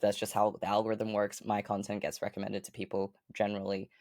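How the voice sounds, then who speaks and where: Женщина с низким для женщины голосом, сухая запись. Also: female voice, completely flat delivery, fast talker, darkish tone, no echo, studio quality. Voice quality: fairly deep voice for a woman. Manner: chatty, upbeat, many fillers like um and like. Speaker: podcast guest. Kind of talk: casual interview chat.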